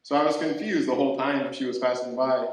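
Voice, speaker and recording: A young man from Ghana, doing a stand-up set with a dry, halting delivery full of fillers and restarts, laughter behind him. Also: mid-range voice, fairly even intonation. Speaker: stand-up comedian. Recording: very echoey room, faint noise. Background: audience laughter.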